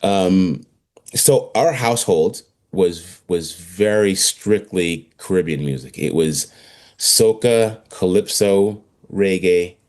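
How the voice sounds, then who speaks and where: A man in his fifties from Trinidad, telling his story casually and haltingly as an interview guest. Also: deep voice, darkish tone. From Trinidad, moved to Canada around age twelve. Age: 50s.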